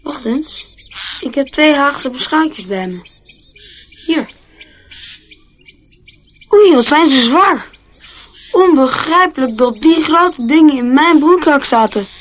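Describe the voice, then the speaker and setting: High-voiced, wide-eyed little boy. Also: lively intonation, takes it slowly, long pauses. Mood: puzzled. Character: small curious, naive boy. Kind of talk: Dutch radio play acting. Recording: faintly noisy radio play recording.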